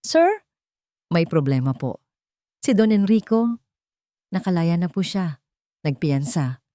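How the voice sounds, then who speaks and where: A woman's voice: lowish voice, sing-song, takes it slowly, mellow, no room sound. A woman voicing an urgent, deferential male security chief in a story, with clipped phrases. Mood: urgent, worried.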